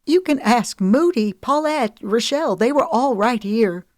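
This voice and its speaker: A woman's voice: medium pitch, sing-song, unhurried, dry recording, clean studio sound, voice pushed up. A woman voicing an earnest young woman who speaks with a raised, urgent voice. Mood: pleading. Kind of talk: audiobook dialogue.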